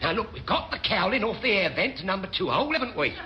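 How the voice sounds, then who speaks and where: An actor playing a scheming, beer-loving chief petty officer in a radio sitcom, wheedling and blustering, on a noisy old recording. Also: male voice, sits high, sing-song, quick pace, muffled recording. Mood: bossy coaxing.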